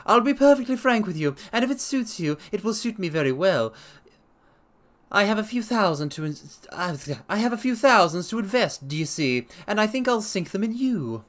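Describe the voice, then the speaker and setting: Man putting on a high, blustery pushy gentleman. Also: very animated. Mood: brisk, pushy. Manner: brisk, bustling, blunt, eager questioning. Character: blustery, pushy gentleman investor with money to spend.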